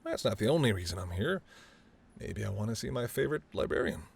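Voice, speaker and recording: A man's voice: lowish voice, sing-song, fast talker, darkish tone, exaggerated voice. A man playing a charming, teasing mafia boss who is smitten, speaking intimately and playfully to the listener in a roleplay audio drama. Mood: flirty, playful. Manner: smooth-talking, playful, flirtatious, addresses listener as doll. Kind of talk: intimate second-person roleplay audio acting.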